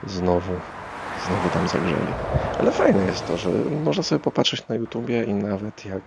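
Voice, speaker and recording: A man's voice: lowish voice, expressive, moderate pace, creaky, very noisy. A man hosting a casual solo podcast, rambling hesitantly as he thinks aloud. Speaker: podcast host.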